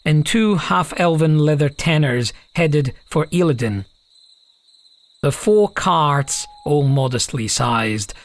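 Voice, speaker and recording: A male audiobook narrator with a somewhat high voice. He reads a scripted fantasy tale as a storyteller. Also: no echo.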